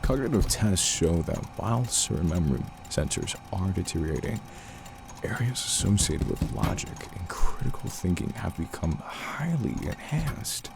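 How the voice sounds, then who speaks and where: A man's voice: sits low, animated, normal speed, creaky. A man playing a research doctor in an audio drama, dictating case notes in a formal, detached, clinical manner. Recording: noisy archive tape with vehicle-like ambience.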